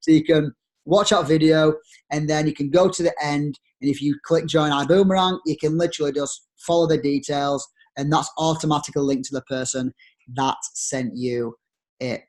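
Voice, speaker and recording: A young man with a broad Yorkshire accent giving an upbeat, persuasive sales pitch to network marketers over a screen share, using plenty of rhetorical questions. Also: fairly even intonation, moderate pace, a little mellow. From Yorkshire, England.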